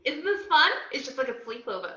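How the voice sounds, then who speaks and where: A woman playing a brash, playful, unhinged young antiheroine with animated, theatrical delivery in an amateur film script table reading on a video call. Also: high-pitched, fairly quick, exaggerated voice. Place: home, on a group video call. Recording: roomy, dull video-call audio.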